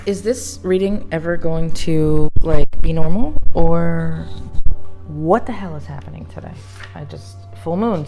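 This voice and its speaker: A woman with a low, deep voice reads tarot card messages aloud, solemn while reading and chatty between cards. She talks into a microphone, with faint music playing underneath. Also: mellow. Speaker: tarot reader.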